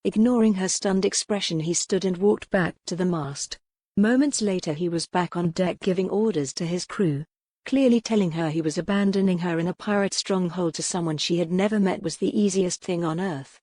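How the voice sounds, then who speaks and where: A woman's voice: lowish voice, moderate pace, mellow, no echo. A woman reading scripted fiction as a dramatic audiobook narrator.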